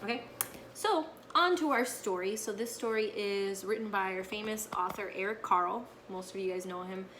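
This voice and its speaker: A woman's voice: mid-range voice, moderate pace, a bit bright. Woman reading to children, noisy recording. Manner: frequent checking tags like okay and right. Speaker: teacher reading a picture book aloud to her pupils. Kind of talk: casual read-aloud with explanations to children.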